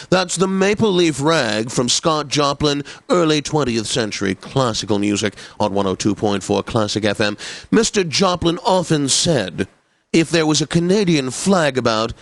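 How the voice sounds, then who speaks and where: An actor playing a pompous, snobbish classical radio DJ for a satirical video game station, with formal, theatrical enunciation. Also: male voice, on the high side, no room sound.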